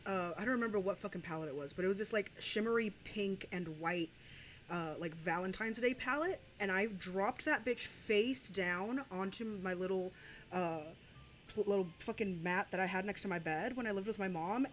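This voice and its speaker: A woman's voice: medium pitch, a little hiss or hum. Crude rambling young woman streamer. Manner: rambling, crude, profane, laughing asides. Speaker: streamer reacting to videos. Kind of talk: casual reaction commentary.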